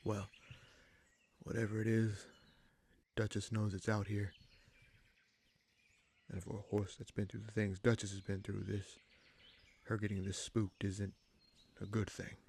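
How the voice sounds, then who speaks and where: A man playing a gruff but caring human bandit leader in a fantasy audio roleplay, wry and protective, with informal, halting phrasing. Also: level tone, darkish tone, heavily acted. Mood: steady, concerned. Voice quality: gravelly, husky low voice.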